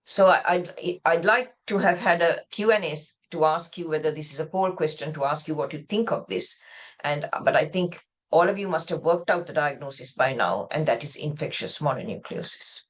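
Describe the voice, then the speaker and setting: A woman from Sri Lanka with a slightly low voice delivers a specialist medical lecture. She explains things step by step in a discursive manner, often rewording a sentence halfway through. She speaks indoors over a video call. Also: normal speed. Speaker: pathologist lecturer.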